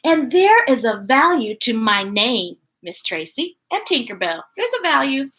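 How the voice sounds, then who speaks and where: Woman, children's story time host: bright, forceful voice, unhurried delivery, playful and expressive read-aloud with chatty asides. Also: a little high. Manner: animated, gushing, playful.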